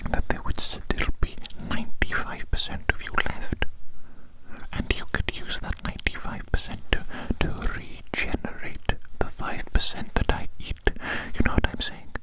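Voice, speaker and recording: A performer voicing a polite, eerily eager alien posing as a friendly doctor, in a breathy, repetitive, coaxing whisper for an up-close ASMR roleplay. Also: lightly acted, rough recording. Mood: coaxing, insistent. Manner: slow, repetitive, formal and coaxing. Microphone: very close microphone.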